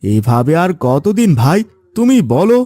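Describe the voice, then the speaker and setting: A man's voice: on the high side, very animated, a little slow, warm tone, no room sound. A man voicing an exasperated village farmer husband in a cartoon fairy tale, with a theatrical, complaining delivery. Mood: weary, downcast.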